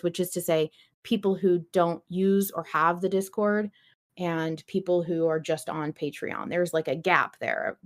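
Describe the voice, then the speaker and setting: A woman with a slightly low voice hosts a casual podcast. She explains things in a chatty, conversational flow, leaning on words for emphasis. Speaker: podcast host.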